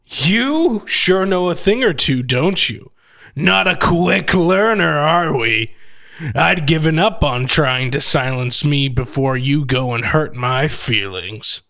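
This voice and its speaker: An actor playing a gloating, sardonic killer who collects machines, with mocking, theatrically polite menace in an audio horror story. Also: male voice, medium pitch, animated, slow pace, a bit bright. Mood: sarcastic.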